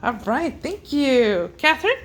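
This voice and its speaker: A woman's voice: high-pitched, very animated, a little slow, a little hiss or hum. A woman talks casually to children in an encouraging, sing-song way, prompting them one by one.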